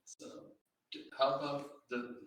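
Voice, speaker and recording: A man speaking informally as an editor at a public literary event, in a hesitant, halting way with false starts. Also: medium pitch, flat delivery, a bit bright. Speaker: anthology editor and panel speaker. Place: reverberant library room, live event. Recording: very echoey room, distant mic, faint noise.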